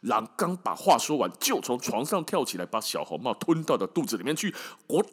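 A man's voice: mid-range voice, very animated, a little fast, fairly bright tone, husky. A man with a Taiwanese accent, a podcast host telling a fairy tale casually with irreverent, colloquial comic asides.

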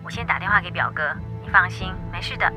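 Quick-talking caring niece, reassuring, music clearly behind. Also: female voice, on the low side, pushed and bright. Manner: soothing, reassuring.

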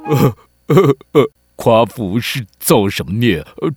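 A man's voice: mid-range voice, animated, unhurried, a little mellow, faint music behind. A man voicing a cheeky, sarcastic young boy who whines and complains in a children's radio drama. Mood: exasperated. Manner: whiny, exaggerated, complaining.

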